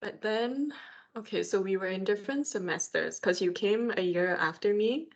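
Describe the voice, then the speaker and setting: A woman's voice: mid-range voice, moderate pace, muffled recording. A young adult woman from Malaysia co-hosting a podcast in a casual, conversational style. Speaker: podcast co-host. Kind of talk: casual chat.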